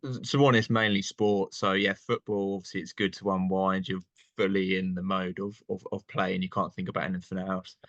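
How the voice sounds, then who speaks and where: A young man from England chatting casually as an interview guest, relaxed and laughing often. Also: low voice, a little fast, no room sound, highs cut off. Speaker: guest, online seller.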